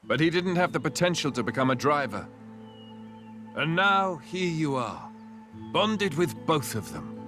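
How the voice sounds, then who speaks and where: A man's voice: on the high side, big pitch swings, measured pace, music in the background. A man playing an easygoing, amiable young prince in a video game cutscene, with a courteous, wry manner. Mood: curious.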